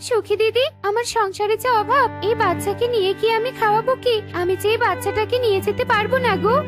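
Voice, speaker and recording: A woman's voice: very high voice, fairly even intonation, quick pace, over music. A woman voicing a small, anxious female parrot who speaks plaintively, in a children's cartoon. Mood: pleading. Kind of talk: animated children's cartoon dialogue.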